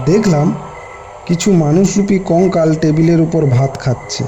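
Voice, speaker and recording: A man narrating a horror story in the first person, in dramatised storytelling style. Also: on the high side. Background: background music.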